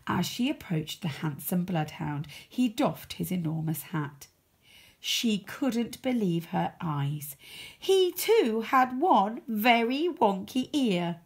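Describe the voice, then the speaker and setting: A woman reading a children's story aloud as a storyteller, expressive, with dramatic emphasis. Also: mid-range voice, gravelly, slightly rough.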